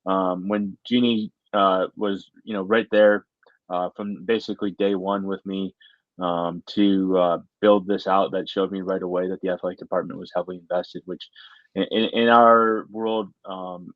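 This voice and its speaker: A middle-aged American man with a low voice that stays very flat in pitch. He answers as an academic interview guest, earnest and halting, often repeating words as he goes. The room adds a noticeable echo. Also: highs cut off. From Michigan, United States. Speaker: university faculty member, interview guest.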